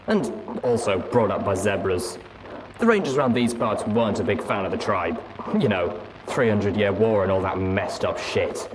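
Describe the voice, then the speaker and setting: Radio DJ, male, acted by a man: upbeat, casual patter, projected delivery, noisy background. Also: on the low side.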